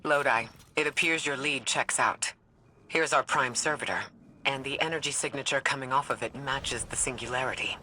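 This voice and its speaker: A man's voice: medium pitch, moderate pace, sharp tone, a little hiss or hum. An actor playing an analytical male scientific advisor in a video game, formal and precise. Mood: curious, focused. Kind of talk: video game dialogue acting.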